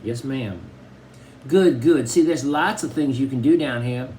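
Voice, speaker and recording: A man's voice: medium pitch, measured pace, warm tone, a little hiss or hum, close to an everyday voice. A man reading aloud and voicing a tiny, doting but bossy old Southern grandmother in a folksy drawl.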